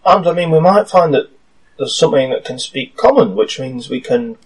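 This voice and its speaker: A man's voice: on the high side, lively intonation, normal speed, breathy edge. A man playing a tabletop game, talking casually with hesitant, polite phrasing. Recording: distant from the microphone.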